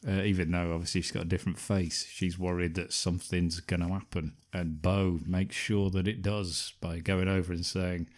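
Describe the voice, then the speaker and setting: A man's voice: sits low, little pitch movement, normal speed, few pauses. A man co-hosting a film podcast, recapping the plot with wry, sarcastic asides. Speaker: podcast co-host. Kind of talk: casual film review chat.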